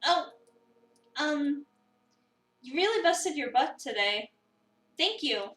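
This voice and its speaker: A woman's voice: high-pitched, expressive, slow pace, a bit bright, heavily acted. A woman playing a shy, awkward, flirty new cafe worker in a visual novel, flustered and self-conscious. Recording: roomy, distant mic, music behind.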